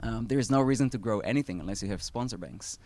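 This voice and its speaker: A low-voiced man with an Israeli accent talks through his company's story on stage. He is earnest and measured, pausing and restarting as he goes. The sound is close and dry. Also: darkish tone, no room sound. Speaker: startup founder guest. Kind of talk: live event Q&A talk.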